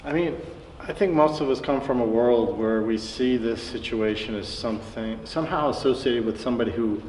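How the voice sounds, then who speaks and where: A man interviewing conversationally, with halting, roundabout phrasing as he works toward his questions. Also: medium pitch, darkish tone. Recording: echoey reverberant room, distant mic, faint noise.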